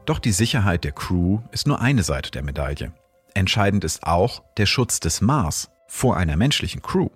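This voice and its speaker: A man hosting a science podcast, giving measured, explanatory scripted narration. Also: sits low, big pitch swings, slightly rough, soft background music. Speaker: host and astrophysicist.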